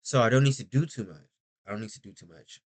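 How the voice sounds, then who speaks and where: A man's voice: medium pitch, quick pace. A young man rambling through a casual video diary, chatty and often interrupting himself. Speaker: vlogger.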